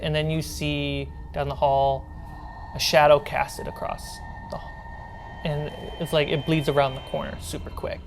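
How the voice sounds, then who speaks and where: A woman game master narrating a tabletop horror game in a descriptive, casual way with pauses. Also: sits low. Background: music.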